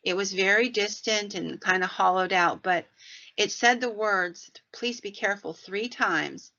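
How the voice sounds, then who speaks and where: A woman's voice: mid-range voice, unhurried, vocal fry, pushed and bright. A woman podcast host telling paranormal stories in a conversational, folksy way with rambling asides. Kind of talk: conversational storytelling about the paranormal. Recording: dull, lo-fi sound.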